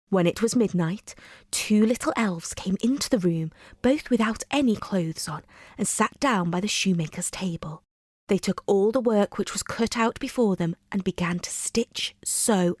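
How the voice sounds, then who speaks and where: A woman narrating a bedtime story in a measured, expressive storybook style. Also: mid-range voice, no room sound, clean studio sound. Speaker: storyteller, reader.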